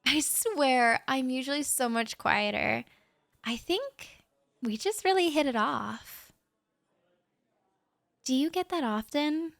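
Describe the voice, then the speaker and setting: Slow, shy young woman rambling softly. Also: high voice, lively intonation, creaky, no room sound, clean studio sound. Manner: shy, rambling and soft-spoken with nervous laughs. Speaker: audio roleplay performer. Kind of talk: intimate audio roleplay monologue to the listener.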